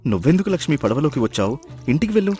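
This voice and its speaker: A man's voice: a little high, very animated, rapid-fire, a little mellow, soft background music. A man playing a poor, devoted fisherman husband in a moral story, speaking earnestly and with dramatic emphasis. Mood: puzzled. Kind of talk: acted moral-story dialogue.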